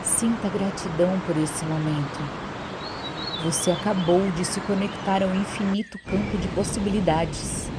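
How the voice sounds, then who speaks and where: A Brazilian woman narrating a scripted guided meditation in a soothing, measured, instructional manner. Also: fairly low pitch, fairly even intonation. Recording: constant waterfall noise behind the voice. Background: waterfall and nature sounds.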